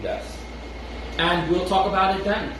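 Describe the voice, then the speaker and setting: A man's voice: sits high, a little slow, far from the mic. Echoey Brooklyn man talking off-mic. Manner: rambling, restarts often, chuckles between thoughts. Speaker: podcast co-host. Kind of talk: casual chat. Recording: very echoey room, off-mic, noisy.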